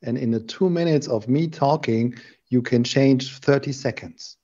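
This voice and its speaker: A middle-aged man speaking in a measured, thoughtful way in a casual video call discussion. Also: mid-range voice, mellow. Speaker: panel guest. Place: indoor room on a video call. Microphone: desk microphone on a video call. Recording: dull lo-fi video call audio.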